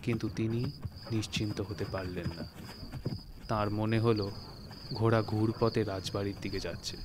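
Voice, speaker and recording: Male narrator, slightly low. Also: fairly even intonation, moderate pace, noisy background. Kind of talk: scripted literary story narration. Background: prominent music.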